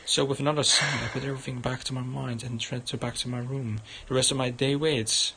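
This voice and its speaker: A man's voice: medium pitch, level tone, brisk, creaky. A man livestreaming a visual novel, reading it aloud and commenting, often stumbling over the words. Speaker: game livestreamer. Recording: loud background noise.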